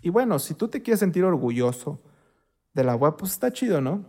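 Hesitant soft-spoken Mexican man. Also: on the high side, sing-song, normal speed. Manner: hesitant, searches for words, trails off softly. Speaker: podcast co-host. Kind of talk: casual conversation.

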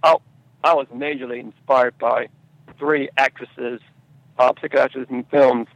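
Male screenwriter guest, halting delivery with fry, muffled low-fidelity recording. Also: fairly even intonation, dry recording. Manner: halting, repeats words and phrases. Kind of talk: conversational interview answers.

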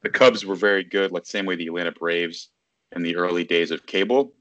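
A man's voice: deep voice, fairly even intonation, a little fast, a bit bright, no room sound, dull audio. A man in his thirties from Virginia, a baseball journalist, talking casually from his city apartment and often correcting himself mid-sentence. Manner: rambling, self-correcting, lots of fillers. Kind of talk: casual interview on a video call.

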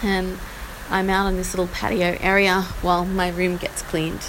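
An Australian woman in her forties, husky from a chest infection, rambling through a self-deprecating update from a small outdoor patio. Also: fairly low pitch, monotone, moderate pace, background noise. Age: 40s. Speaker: podcast host. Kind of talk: casual personal update. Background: faint rain.

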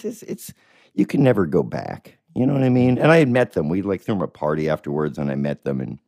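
A man in his fifties from Connecticut with a deep, masculine voice, chatting in a rambling, profane, self-mocking way as a podcast guest. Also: very animated, quick pace, vocal fry, warm tone, dry recording, studio quality. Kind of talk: casual chat.

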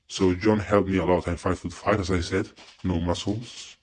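A man's voice: deep voice, steady tone, moderate pace. A man from Spain with a mixed Spanish and American accent, a native Spanish speaker giving a casual, hesitant testimonial. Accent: mix of Spanish and American. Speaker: student giving a coaching testimonial.

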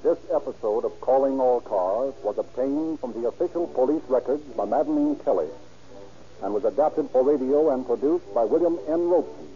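A man's voice: medium pitch, normal speed, dark tone, faint music behind. A man announcing and reading ads for a 1930s radio show in a persuasive style, on a lo-fi recording. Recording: dull lo-fi old radio recording.

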